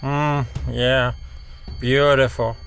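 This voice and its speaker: A slow, gravelly, eerily calm stranger. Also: male voice, mid-range voice, faint music behind. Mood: serenely morbid. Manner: placid, laconic, unhurried, eerily matter-of-fact. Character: calm, cryptic, grinning male passenger, unbothered by death. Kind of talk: old-time radio drama acting.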